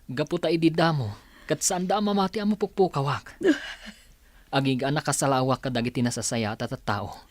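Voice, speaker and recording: A man's voice: mid-range voice, normal speed, no echo, natural voice. Man acting a dryly recorded returning son. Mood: relieved, earnest. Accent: Filipino. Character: grateful returning son who survived an ordeal. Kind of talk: Ilocano radio drama acting.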